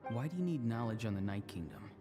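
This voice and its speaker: Earnest young mystic, echoing reverb. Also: male voice, lowish voice, big pitch swings, quick pace. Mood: sincere, hopeful. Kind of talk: dramatic video game voice acting. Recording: long echoing reverb under game music.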